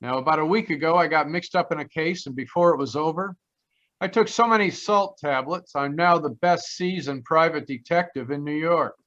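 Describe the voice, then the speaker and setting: An actor playing a wry, slangy American private detective who narrates an old-time radio mystery with grumbling comic patter. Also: male voice, on the high side, normal speed, a bit bright, natural voice. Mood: storytelling. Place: performed from home on a video call. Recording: slightly dull video-call sound.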